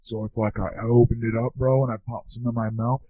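An actor playing a dim, excitable slacker dude in a comedy skit, rambling in profane stoner bro talk with a husky voice. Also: male voice, mid-range voice, brisk, lightly acted. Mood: rambling, amazed. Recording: narrow, dry telephone-like sound.

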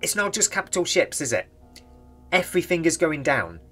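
A man's voice: a little high, sing-song, moderate pace, a bit bright, faint music behind. A young British man in his twenties, casually rambling through game market commentary from a small home room, his voice slightly worn. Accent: British English. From Britain. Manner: rambling, chatty, speculative. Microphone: desk microphone near face.

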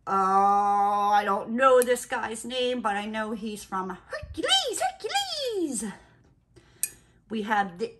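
A woman's voice: on the high side, big pitch swings, takes it slowly. A woman chatting casually to viewers during an unboxing, playful and sassy, full of exclamations, slang and mild swearing. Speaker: craft and unboxing vlogger. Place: indoors at a glass-topped table. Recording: clear background noise with handling clicks.

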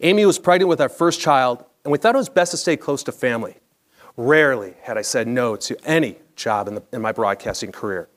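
An American man in his forties reads a scripted personal story on stage in a measured, polished broadcaster-like delivery. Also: medium pitch, expressive. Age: 40s. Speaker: storyteller, former baseball broadcaster. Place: theatre stage, indoors, at a lectern.

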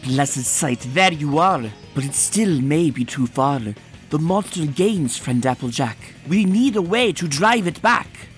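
A man voicing a solemn, wistful female zebra who speaks in measured, formal rhyme for a comic dub. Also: fairly high pitch, animated, soft background music. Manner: measured, formal, rhyming speech. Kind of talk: comic dub character acting.

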